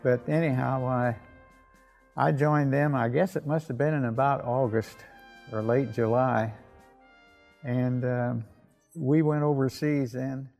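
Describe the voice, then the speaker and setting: An elderly man, recalling the war in a plain, halting, rambling way. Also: mid-range voice, steady tone, vocal fry, darkish tone, faint music behind. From Evansville, Indiana, United States. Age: 80s or older. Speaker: war veteran. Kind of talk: spoken reminiscence.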